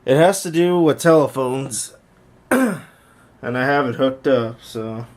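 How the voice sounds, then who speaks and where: A man's voice: medium pitch, animated, slow, a little hiss or hum. A man giving casual, rambling hands-on narration of a hobby video from behind a handheld camera in a room at home, often repeating himself. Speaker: YouTube hobbyist demonstrating intercom gear. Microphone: handheld camera, speaker behind it.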